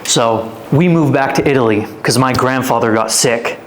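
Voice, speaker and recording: A man's voice: medium pitch, moderate pace, a little hiss or hum, poor audio. A man in his twenties from Canada, a podcast guest telling his life story in a loose, rambling way full of "like.". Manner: rambling, filler-heavy storytelling with many "like"s. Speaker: guest, fitness influencer. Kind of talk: casual storytelling interview.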